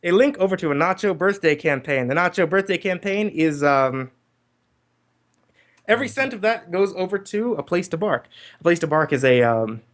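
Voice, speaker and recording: A man's voice: sits high, lively intonation, moderate pace. A man hosting a livestream in casual group chat, with hesitant, rambling explanations. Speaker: livestream host.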